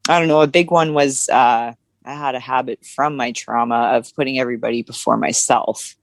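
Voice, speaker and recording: A woman's voice: very deep voice, fairly even intonation, fairly quick, dry recording. A woman co-hosting a podcast, talking frankly and casually with lots of fillers. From Canada. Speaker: podcast co-host. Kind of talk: candid conversational chat.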